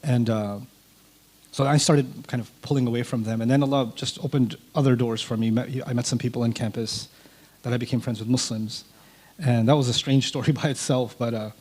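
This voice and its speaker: Brief: male interview guest, Urdu first language, mid-pitched, animated storyteller who voices the people he quotes. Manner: animated, acts out quoted dialogue.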